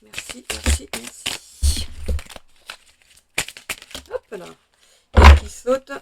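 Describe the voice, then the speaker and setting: A French woman with a slightly hoarse voice, chatting through a tarot card reading with many hesitations and fillers. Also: on the high side, lively intonation, very slow, heavy background noise. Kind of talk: casual weekly tarot reading.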